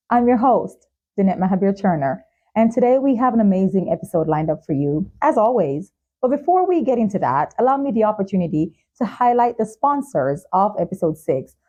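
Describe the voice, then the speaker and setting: A woman hosting a talk show, presenting in a measured, carefully enunciated way. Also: medium pitch, mellow, muffled recording. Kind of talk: scripted show introduction and sponsor reads, interviewing.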